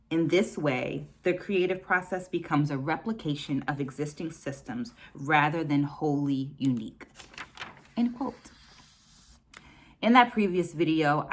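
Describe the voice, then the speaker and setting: A middle-aged American woman reflecting on a book for her viewers in a measured, deliberate way. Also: sits low. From United States. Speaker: dance educator hosting a book discussion.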